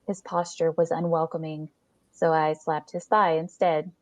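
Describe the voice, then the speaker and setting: A woman's voice: low voice, flat delivery, unhurried, no room sound. A woman reading a first-person short story aloud as scripted narration, in a dull lo-fi recording.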